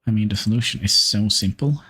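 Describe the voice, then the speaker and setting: A man's voice: lowish voice, fairly bright tone, husky. Man thinking aloud over gameplay. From Norway. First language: Norwegian. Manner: thinking aloud, halting with fillers. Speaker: streamer. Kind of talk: live gameplay commentary.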